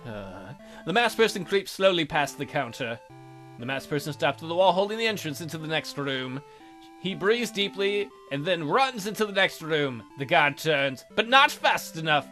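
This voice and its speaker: A man's voice: fairly high pitch, normal speed. A man hosting a let's play, reading a visual novel aloud with goofy, joking asides. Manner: jokey, animated, goofy asides. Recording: background music under voice.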